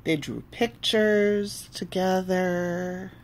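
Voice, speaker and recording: A woman reading a children's storybook aloud in an expressive, deliberate way, pausing between phrases. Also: fairly low pitch, a little hiss or hum. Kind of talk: storybook read-aloud with asides.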